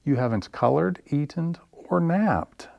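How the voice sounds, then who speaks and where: A gentle lady fox teacher from a picture book, played by a man. The voice is lifted above his own, with a sing-song, encouraging delivery that reassures small children. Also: on the high side, expressive, measured pace, gravelly, muffled recording, voice pushed up. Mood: caring, bright.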